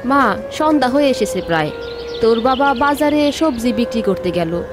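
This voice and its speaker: A woman's voice: on the high side, expressive, normal speed, music in the background, natural voice. A woman voicing an overworked village housewife and mother in a cartoon, scolding and complaining.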